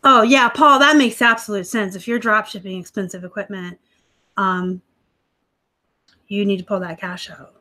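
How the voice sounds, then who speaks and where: A woman's voice: mid-range voice, steady tone, moderate pace, long pauses, pushed and bright. A woman hosting a casual live stream from home, chatting with viewers, a bit snarky and laughing often. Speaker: livestream host, online reseller. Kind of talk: casual live-stream chat reading comments. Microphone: laptop live stream.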